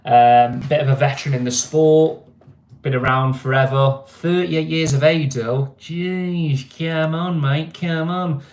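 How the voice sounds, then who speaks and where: Mancunian male streamer, easygoing and chatty, voice a touch high, roomy echo. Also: fairly even intonation, unhurried, darkish tone. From England. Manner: chatty, laid-back, keeps asking "you know what I mean". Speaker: vlogger. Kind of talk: casual live-stream chat.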